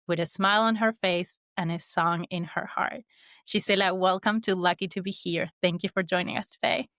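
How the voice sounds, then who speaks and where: A woman's voice: medium pitch, a bit bright, dry recording, clean studio sound. A woman hosting a podcast interview who reads a scripted intro and then asks questions casually, with some fillers. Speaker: podcast host.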